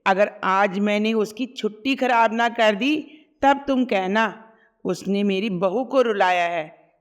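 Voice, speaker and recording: A woman's voice: mid-range voice, slow, natural voice. A woman voicing a stern, protective middle-aged mother-in-law who scolds and commands. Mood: angry.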